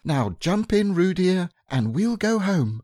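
A man reading aloud and voicing a motherly female kangaroo who fusses briskly and pays little attention. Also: high voice, sing-song, a little mellow, no room sound, clean studio sound, pitched above the performer's own voice, exaggerated voice. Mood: fussing. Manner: brisk, fussing.

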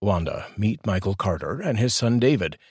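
A man's voice: on the low side, expressive, normal speed, a little mellow, husky, dry recording. A man voicing a blunt, wry neighbor husband, close to his own voice.

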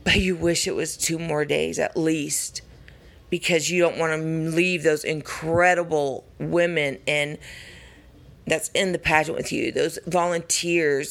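Raspy woman vlogger, unhurried and earnest, gravelly fry, encouraging tone. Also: low voice. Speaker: pageant contestant. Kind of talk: casual vlog talk to camera.

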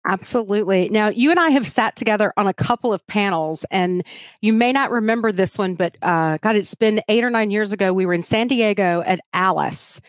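Animated woman host, dry close sound. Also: mid-range voice, brisk, no echo. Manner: animated, chatty, laughs easily. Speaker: live stream host. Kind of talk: enthusiastic interview hosting.

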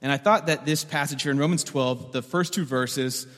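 A man's voice: mid-range voice, moderate pace, fairly bright tone, big-room sound. A man preaching a sermon as the pastor, conversational and joking, often repeating words as he restarts a thought.

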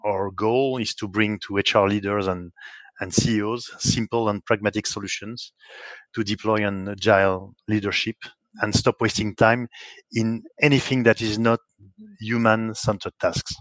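Brief: French-accented male guest, low-pitched, halting delivery, dry close mic. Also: little pitch movement, a bit bright, no echo. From France. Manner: hesitant, many restarts and fillers. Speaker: guest, software company executive. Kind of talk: podcast interview answers.

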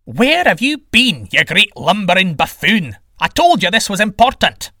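A man's voice: high-pitched, big pitch swings, moderate pace, bright, forceful tone, dry recording, clean studio sound, pitched above the performer's own voice, heavily acted. A man voicing a bossy, impatient sky god who scolds and hurls insults in a comic story. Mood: impatient, scolding. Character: blustering, bossy, insult-hurling male Aztec sky god.

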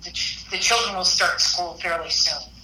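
Very bright-voiced woman in a roomy interview. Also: on the low side, moderate pace. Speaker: refugee center representative being interviewed. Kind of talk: conversational interview answers. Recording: roomy, faintly noisy, phone-like interview recording.

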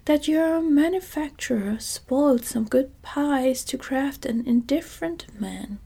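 A woman softly whispering the lines of a pompous male scarecrow king in a storybook reading. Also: high-pitched, a little slow, vocal fry. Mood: lecturing.

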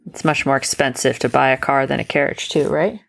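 A woman's voice: extremely low pitch, steady tone, fairly quick, vocal fry. A woman reading a children's bedtime story as a parent, explaining and checking with questions.

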